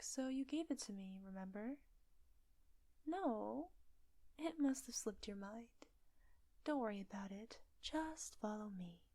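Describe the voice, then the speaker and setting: A woman acting a seductive, controlling witch in an intimate roleplay audio drama, with breathy, teasing, commanding delivery. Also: fairly high pitch, dark tone, no echo. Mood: coaxing, sly.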